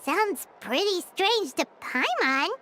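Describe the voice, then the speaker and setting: A woman's voice: very high-pitched, very animated, slow pace, very noisy, heavily acted. A woman voicing a small, childlike, chirpy and curious fairy companion in a video game. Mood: chatty, curious. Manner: refers to self in third person, exclamatory.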